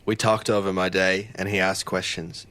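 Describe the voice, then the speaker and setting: A man's voice: deep voice, level tone, moderate pace, a bit bright. A man playing an earnest, bewildered fisheries officer who narrates his own story in an audio drama. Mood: tense, recounting.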